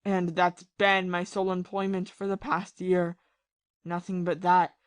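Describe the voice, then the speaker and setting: A woman playing a bitter, lovesick, ruined ex-army captain in a period audio play, confessing passionately and haltingly. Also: lowish voice, flat delivery, no room sound, lightly acted. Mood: self-loathing. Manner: confessional, impassioned, halting with repeated phrases.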